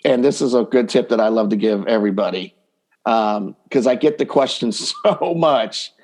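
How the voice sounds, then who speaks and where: A man's voice: lowish voice, moderate pace, darkish tone. Male dating coach in conversation. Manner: explains through acted-out hypothetical quotes. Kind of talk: conversational podcast interview.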